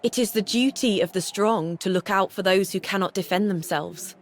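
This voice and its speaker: A woman's voice: mid-range voice, normal speed, natural voice. A woman playing an earnest, compassionate warrior spirit in a video game, formal and deferential toward a goddess. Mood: earnest, resolute. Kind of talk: video game cutscene acting.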